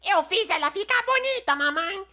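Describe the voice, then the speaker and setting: Here is a whiny, spoiled little cartoon boy with a Brazilian accent, acted out by a man. The voice is shrill and very high, and it swings up and down in a bratty way. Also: lively intonation, normal speed, pushed and bright, heavily acted. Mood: innocent.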